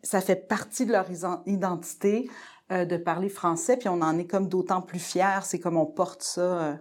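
A woman's voice: fairly low pitch, fairly even intonation, normal speed, a little mellow. Conversational woman host asking probing questions. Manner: conversational, probing follow-up questions with frequent tu sais. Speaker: podcast host. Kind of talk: casual interview conversation.

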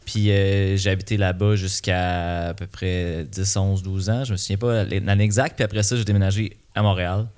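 A man in his thirties with a Québécois accent, a musician guest answering interview questions in a relaxed, rambling way with many fillers. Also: low voice, normal speed, hardly any pauses, clean tone. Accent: Québécois French. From Quebec. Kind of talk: casual podcast interview.

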